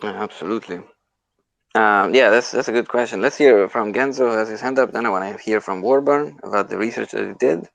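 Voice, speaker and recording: A man's voice: fairly low pitch, brisk, creaky, a bit bright, no room sound, poor audio. A man hosting and moderating a casual online audio discussion, speaking off the cuff in an emphatic, conversational way. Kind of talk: casual unscripted group discussion. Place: live online audio chat room.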